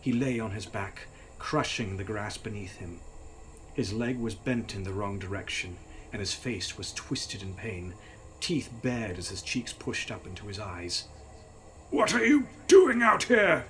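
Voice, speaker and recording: A man's voice: on the low side, a little slow, a bit bright, slight background noise, rough recording. A young man reading a gothic novel aloud in a grave, theatrical, dramatic style. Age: young adult. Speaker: author reading his novel.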